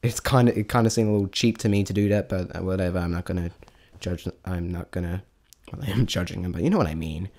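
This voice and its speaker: A man's voice: sits low, quick pace, a little mellow. A man giving a casual, unscripted spoken review, rambling and thinking aloud in a self-deprecating way. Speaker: amateur vlog reviewer.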